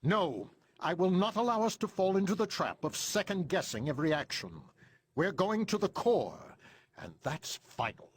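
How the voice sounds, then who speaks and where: An actor playing an earnest, authoritative hero team leader in a video game, briefing formally on a mission. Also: male voice, high-pitched, big pitch swings, normal speed, slightly rough, dry recording, full character voice. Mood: firm.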